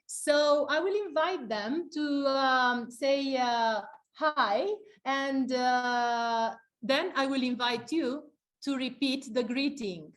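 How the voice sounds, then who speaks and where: A woman from Romania hosting an open seminar, welcoming guests with frequent pauses and fillers. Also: high-pitched. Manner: hesitant, many fillers. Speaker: seminar host. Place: seminar room.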